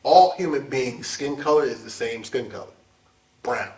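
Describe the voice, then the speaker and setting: A man's voice: medium pitch, normal speed, a little mellow, slight background noise. A man in an informal panel talk, emphatic and plain-spoken, with preacherly asides. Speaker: dance scholar and panel speaker. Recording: echoey hall, distant mic.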